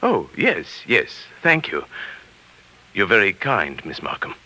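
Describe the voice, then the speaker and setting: A low-voiced, middle-aged male professor in a vintage radio drama. He is meek and henpecked yet quietly scheming, speaking in hesitant, formal phrases that swing widely in pitch with a bright, crisp edge. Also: huge pitch swings, slow, exaggerated voice. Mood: mild, flustered. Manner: hesitant, mild, formal academic. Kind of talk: 1940s radio drama acting. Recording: vintage 1940s radio recording.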